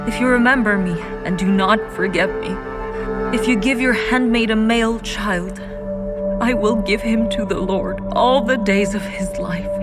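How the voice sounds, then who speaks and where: An actress playing a sorrowful, devout young wife praying earnestly in a dramatized scripture reading over strong music. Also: female voice, medium pitch, close to an everyday voice.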